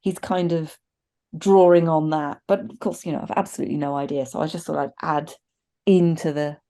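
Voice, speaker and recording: Low-pitched, mellow middle-aged woman. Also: fairly even intonation, fairly quick. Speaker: podcast co-host reviewing films. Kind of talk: casual discussion and commentary. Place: indoors at a desk, video call with virtual backdrop.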